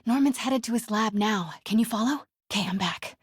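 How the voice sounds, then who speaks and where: Quick-witted young woman, video game heroine, husky and breathy, dry close sound, wry self-talk, curious. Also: fairly high pitch, dry recording. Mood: hurried. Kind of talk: video game character dialogue, muttering to herself while sneaking.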